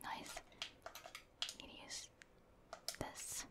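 A woman whispers softly and breathily as she plays a video game for ASMR. She keeps up a casual, murmured play-by-play in short remarks, never using full voice. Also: very slow.

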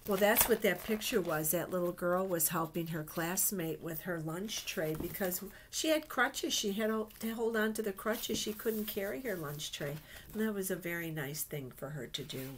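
A woman's voice: on the low side, normal speed, a little hiss or hum. A middle-aged woman reads picture books aloud to children, adding chatty asides and simple questions. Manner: simple, child-directed phrasing with gentle questions. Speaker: children's Bible storyteller. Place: small indoor office. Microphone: webcam at desk distance.

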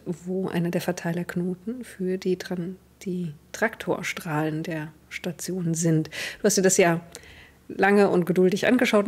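A woman's voice: lowish voice, darkish tone, husky. A young woman, improvising narration as game master of a tabletop role-playing game. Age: young adult. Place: indoors at home, on a video call.

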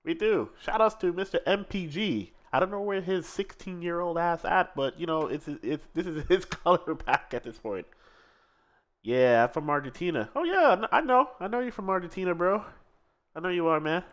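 Excitable man commentating a stream. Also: high-pitched, moderate pace, muffled recording. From Seattle. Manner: excitable, laughs often, reads chat aloud. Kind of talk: casual live fighting-game commentary.

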